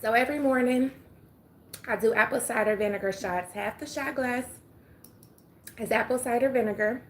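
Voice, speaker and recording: A retired Southern woman from Atlanta talks easily to her viewers through a phone, her voice sitting a little high for a woman. She is chatty and folksy, greeting people and now and then dropping to a murmur. A faint hum of room ambience sits behind her. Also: moderate pace, pauses often. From Atlanta, Georgia. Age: older. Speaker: livestream host. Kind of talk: casual livestream chat. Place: home room with sunlight.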